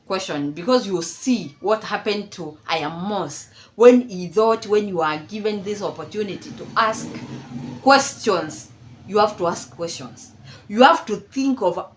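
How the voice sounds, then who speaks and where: A woman in her fifties from Kenya giving casual, mocking commentary, laughing often and repeating herself. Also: mid-range voice. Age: 50s. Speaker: commentary vlogger.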